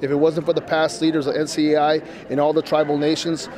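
Earnest young man, flat, busy hall. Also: a little high, fast talker, crowd noise. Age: young adult. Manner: earnest, repeats words. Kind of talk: interview answers.

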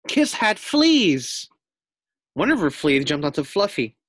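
Animated, unhurried man reading to children. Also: a little high, no room sound, studio quality. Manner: expressive, explains and asks questions. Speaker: storybook reader, teacher. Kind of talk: children's read-aloud with asides to pupils.

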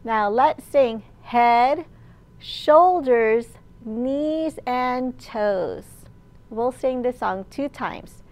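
High-voiced woman reading slowly to small children. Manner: animated, slow and clearly enunciated. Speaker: children's librarian and storytime host. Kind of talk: picture-book storytelling for small children. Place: large indoor library room.

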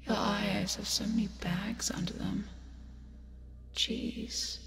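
A woman playing a teasing, creepy yet caring sleep paralysis demon in a breathy, soothing ASMR hypnosis roleplay, close to the microphone. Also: mid-range voice, steady tone. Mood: teasing, cozy. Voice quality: breathy, whispery. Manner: slow, soothing, coaxing with teasing asides. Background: music.

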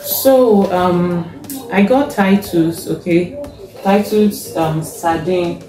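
A young Nigerian woman with a Nigerian accent, casually showing her grocery haul, taking her time, checking a receipt and often pausing with fillers. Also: fairly low pitch. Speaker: vlogger. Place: home kitchen, indoors. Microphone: fixed camera in front. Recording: echoey kitchen, faint music.

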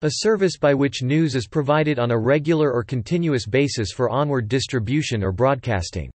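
A man's voice: medium pitch, fairly quick, darkish tone, dry recording. A man narrating an English lesson, reading example sentences and definitions aloud and repeating each one evenly.

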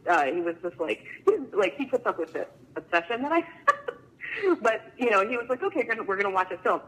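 A woman's voice: mid-range voice, expressive, fast talker, breathy edge, low-quality recording. A woman fan calling in to chat casually and tell personal stories, nervous and gushing, peppering her talk with "like". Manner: nervous, gushing, filler-heavy "like". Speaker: fan calling in, emergency room nurse.

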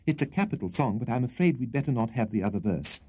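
A man's voice: mid-range voice, animated, quick pace, mellow, slightly rough, voice pushed up. A man voicing an anxious, miserable young host in a storybook reading, pleading with strained politeness. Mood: miserable, placating. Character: anxious, miserable young male host cowed by his landlady.

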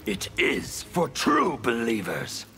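A man voicing a fanatical, mocking chaos zealot in a video game, theatrical and gravelly. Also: medium pitch, huge pitch swings. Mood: gleeful taunting. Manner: theatrical, taunting, drawn-out delivery. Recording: music playing behind.

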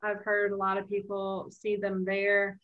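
A middle-aged woman presenting a nature webinar over a video call, relaxed and chatty, with many fillers and restarts. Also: medium pitch, measured pace, narrow, tinny audio. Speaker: wildlife nonprofit director presenting a webinar. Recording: roomy video-call audio.

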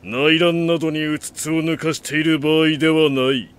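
An actor playing a proud, iron-willed warlord in an audio drama, speaking in solemn, imperious, archaic grand speech. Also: male voice, on the high side, steady tone, normal speed, smooth, natural voice. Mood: resolute. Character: proud, iron-willed male warlord bent on remaking the nation. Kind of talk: Japanese audio drama acting.

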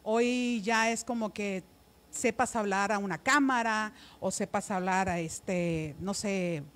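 A middle-aged woman with a northern Mexican accent, telling stories in a casual, animated, expressive way into a handheld microphone. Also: mid-range voice, slow pace. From Ciudad Obregón, Mexico. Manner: animated, expressive, often quoting others. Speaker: interview guest, radio announcer. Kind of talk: casual chatty storytelling. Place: small decorated studio. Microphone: handheld microphone held close.

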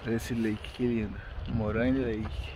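A man's voice: fairly low pitch, little pitch movement, unhurried, very noisy. Brazilian man vlogging outdoors. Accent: Brazilian Portuguese. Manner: chatty, mixes in English phrases. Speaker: travel vlogger. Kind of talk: casual travel vlog narration. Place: outdoors by a mountain lake and forest trail.

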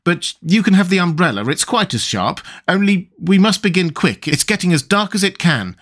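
A man voicing a bossy, excitable boyish twin in a storybook, brisk in manner. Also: exaggerated voice. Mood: bossy, urgent. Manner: brisk, shrill when alarmed. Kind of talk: storybook character voice.

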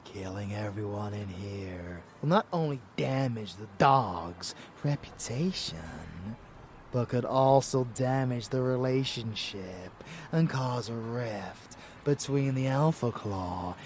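A man's voice: fairly low pitch, expressive, takes it slowly, a little hiss or hum. A man playing a cocky, murderous vampire lover in a roleplay audio drama, intimate, drawling and menacing, doting on the listener. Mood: intimate, scheming.

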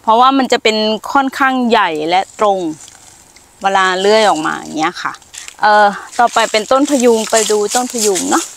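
A middle-aged woman giving an unhurried, explanatory walk around her farm, pointing out trees and their uses, with hesitant fillers. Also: a little high, animated, gravelly, a bit bright, slight background noise. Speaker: farm owner showing trees. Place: outdoors on a farm among trees.

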